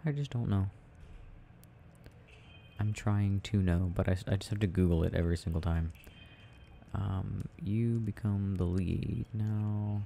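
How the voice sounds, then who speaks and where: A young adult man rambling softly and casually in a quiet, sleepy murmur as he plays a game, in an ASMR style. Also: low-pitched, a little slow, creaky, long pauses. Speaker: audio roleplay creator. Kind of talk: soft casual rambling while gaming, ASMR sleep aid. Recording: faint background noise.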